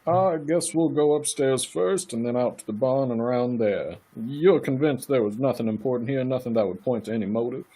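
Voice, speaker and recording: A man playing a brisk, condescending county attorney in a live radio play, officious and patronizing. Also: medium pitch, mellow, natural voice, poor audio.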